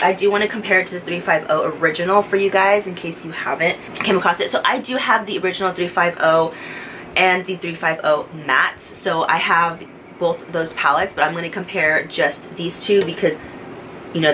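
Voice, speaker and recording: A young woman whose voice is stuffy and congested from a cold rambles cheerfully through a makeup product review. Her voice sits a little low, and she speaks close to the camera in a home room with faint ambient sound behind her. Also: fairly bright tone, slight background noise, low-quality recording. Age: young adult. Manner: chatty, rambling, enthusiastic. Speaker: beauty vlogger. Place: home beauty room, indoors. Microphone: camera at close range.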